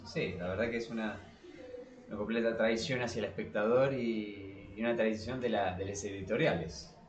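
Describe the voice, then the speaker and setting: A man's voice: on the low side, fairly even intonation, normal speed, highs cut off. A man with an Argentine Spanish accent co-hosting a casual literary podcast, hesitating and rephrasing as he goes. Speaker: podcast co-host. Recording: roomy echo, distant from the mic.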